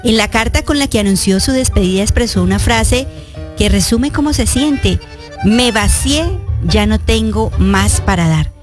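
A woman co-hosting a news program, presenting a sports story in a polished, measured newsreader manner. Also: mid-range voice, animated, over music. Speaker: news co-host.

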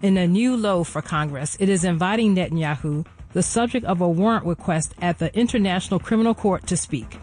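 High-voiced male radio announcer over music. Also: brisk, few pauses, a little mellow. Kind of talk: scripted news announcement.

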